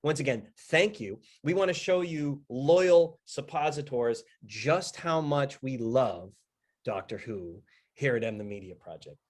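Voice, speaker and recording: A man speaking as a project founder, giving a casual promotional thank-you with deliberate, emphatic repetition. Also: medium pitch, animated, darkish tone. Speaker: media project founder giving a sponsor message.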